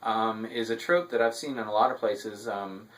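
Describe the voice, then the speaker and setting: A man delivering a semi-scripted recap and review as commentary, with a halting delivery full of filled pauses. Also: fairly low pitch, a bit bright. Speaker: TV episode reviewer.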